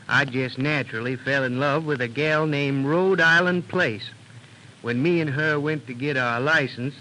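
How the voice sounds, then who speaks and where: A man's voice: medium pitch, pushed and bright. A man playing a proud, good-humored rural family man, telling his tale in homespun 1930s radio style. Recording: noisy 1930s radio recording.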